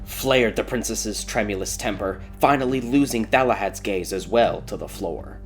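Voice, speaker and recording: A man's voice: fairly low pitch, normal speed, faint music behind. A man reading a scripted fairy tale as a dramatic audiobook narrator.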